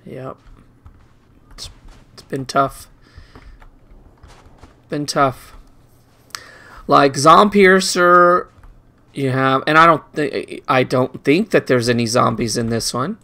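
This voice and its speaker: A man's voice: mid-range voice, slow pace, long pauses, pushed and bright. A man giving casual video game commentary, rambling and muttering playfully to himself as he plays.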